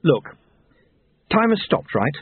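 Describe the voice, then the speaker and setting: A man's voice: a little high, big pitch swings, slow pace, slightly rough, no room sound, pitched above the performer's own voice, heavily acted. A man voicing a flustered, naive young male apprentice in an audiobook, hesitant and urgent but polite. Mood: puzzled, earnest. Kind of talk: audiobook character voice. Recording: narrow tinny phone-like sound.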